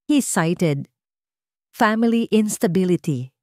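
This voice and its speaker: A woman's voice: mid-range voice, expressive, takes it slowly, a little mellow, no room sound, studio quality. Woman voicing a hesitant Filipino male assistant. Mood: hesitant, apologetic. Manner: hesitant, formal, polite. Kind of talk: audio drama storytelling.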